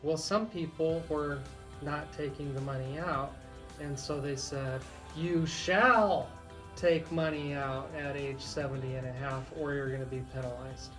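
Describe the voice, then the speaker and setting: Higher-pitched man explaining on radio over music. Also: fairly even intonation, measured pace, a bit bright. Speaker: radio legal expert.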